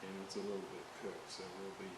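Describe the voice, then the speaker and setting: A man giving casual hands-on commentary as he repairs equipment, halting and muttering while he works. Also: low voice, little pitch movement. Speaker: hobbyist repairer narrating a restoration. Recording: hissy, roomy, distant microphone.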